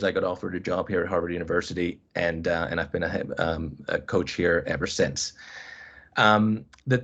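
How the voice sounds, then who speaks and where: Low-voiced man, hesitant, dull call audio. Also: fairly bright tone. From Finland. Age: 30s. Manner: hesitant, restarts phrases with many ums. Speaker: college squash coach, guest speaker. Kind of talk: webinar presentation. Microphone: video call.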